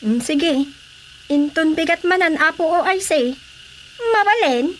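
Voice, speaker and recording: High-voiced plaintive village wife. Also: female voice, animated, a little slow, slight background noise, low-quality recording. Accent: Ilocano. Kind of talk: Filipino radio comedy drama acting.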